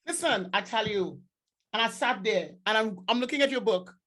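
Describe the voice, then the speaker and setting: A woman hosting a podcast, chatting casually in an emphatic, excitable way and often repeating her words. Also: fairly high pitch, moderate pace, poor audio. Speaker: podcast host. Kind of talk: casual interview chat.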